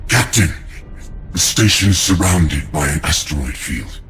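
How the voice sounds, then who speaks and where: An actor playing a precise starship navigation officer in a game, composed and formal. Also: male voice, low voice, expressive, measured pace, a bit bright, soft background music, heavily acted. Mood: calm, precise.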